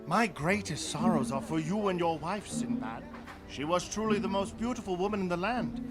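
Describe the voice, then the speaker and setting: An actor playing a solemn, dutiful royal servant with a formal, ceremonious delivery in a radio drama. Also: male voice, high-pitched, expressive, moderate pace, loud music behind the voice. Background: prominent musical score.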